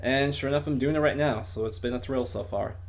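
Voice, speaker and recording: A man's voice: mid-range voice, quick pace. Earnest male interviewer on a voice call. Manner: earnest, motivational, speaks in sayings. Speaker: interviewer, host. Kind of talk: casual interview over a voice call. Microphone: computer mic on a voice call.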